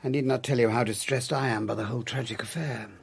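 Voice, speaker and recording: An actor playing a grave, formal English family solicitor with a deliberate manner, in a radio drama. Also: male voice, mid-range voice, fairly even intonation, creaky, slightly rough.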